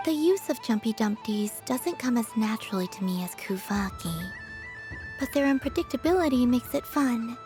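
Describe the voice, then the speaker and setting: A woman playing a calm, curious young goddess in a video game, speaking in an understated, formal, matter-of-fact way. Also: fairly high pitch, moderate pace, music in the background, lightly acted. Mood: reserved.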